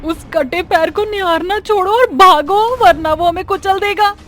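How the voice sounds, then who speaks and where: Lady doing a scared young friend in a horror story, high urgent voice, music behind. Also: unhurried, a bit bright. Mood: urgent fear. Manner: exclamatory, urgent delivery. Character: alarmed young friend crying out in a haunted maze. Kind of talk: dramatised horror story acting. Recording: music under the voice.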